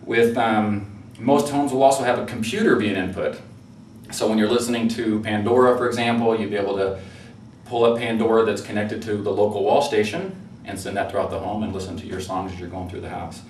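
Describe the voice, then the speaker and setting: A man's voice: lowish voice, steady tone, fairly quick, darkish tone. A man giving an informal product demonstration, explaining conversationally and a little hesitantly as a presenter. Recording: roomy, distant mic with faint noise.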